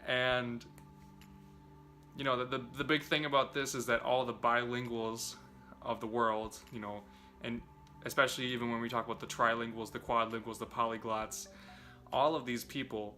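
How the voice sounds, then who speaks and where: A man's voice: medium pitch, little pitch movement, normal speed, bright, forceful tone, soft background music. A young man earnestly explaining language learning tips straight to his viewers, talking to them as "you guys". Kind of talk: direct-to-camera tips video.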